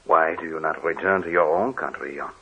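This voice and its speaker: A kindly deep-voiced priest. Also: male voice, a little fast, gravelly, slight background noise, heavily acted. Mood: fatherly. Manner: gentle, fatherly reassurance. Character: kindly older priest, fatherly and gentle. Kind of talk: 1940s radio drama acting. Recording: dull old radio recording.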